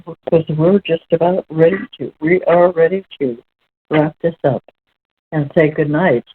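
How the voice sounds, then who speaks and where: Woman reading spiritual stuff out loud real slow and deliberate, halting bits, sounds phone-like and muffled. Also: low-pitched, husky. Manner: measured, deliberate, halting phrasing. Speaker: host reading aloud.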